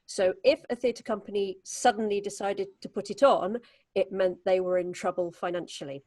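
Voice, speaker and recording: A British woman chatting casually and telling theatre-style anecdotes in an animated way. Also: medium pitch, moderate pace, no room sound. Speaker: stage performer.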